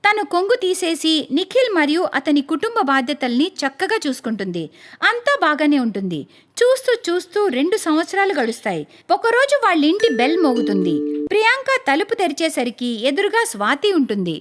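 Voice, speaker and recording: A woman narrating a cartoon moral story in a lively storytelling manner. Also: high-pitched, fairly quick.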